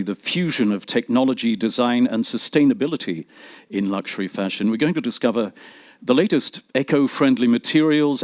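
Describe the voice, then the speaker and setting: A man hosting a live panel discussion as moderator, putting questions somewhat haltingly. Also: medium pitch, dry recording, clean studio sound.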